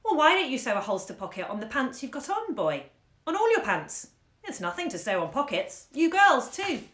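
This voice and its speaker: A woman's voice: high-pitched, sing-song, normal speed, a bit bright, pitched above the performer's own voice. A woman voicing a teasing young male college student who rattles off mock-serious nonsense at speed. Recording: roomy echo.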